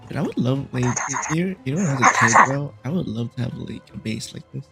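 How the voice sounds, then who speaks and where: A man's voice: mid-range voice, expressive, a little fast, creaky. A man chatting casually on a livestream in a teasing, slangy way, saying bro often. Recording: music playing behind the voice. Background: video game music.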